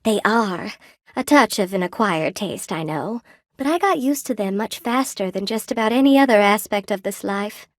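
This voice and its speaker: A woman's voice: mid-range voice, expressive, normal speed, dry recording, clean studio sound, lightly acted. An actress voicing a friendly, wry spider weaver woman in a video game, chatty and coy, with a folksy, lightly theatrical delivery. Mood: warm, confiding. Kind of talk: video game character dialogue. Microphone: close dry studio microphone.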